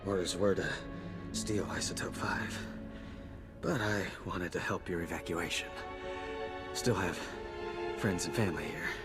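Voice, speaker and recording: Wounded infantry private, clipped weak delivery, lowish pitch, game cutscene, loud music behind. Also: male voice, fairly even intonation, a little slow, long pauses. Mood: pained, resigned. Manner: clipped, fragmented sentences. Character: mortally wounded male imperial infantry private, stoic. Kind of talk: video game cutscene acting.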